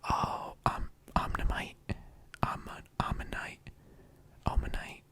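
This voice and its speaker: A person whispering casual, rambling ASMR-style commentary as a video host, in a hesitant and playful manner. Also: drawn-out words. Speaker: video host ranking game characters.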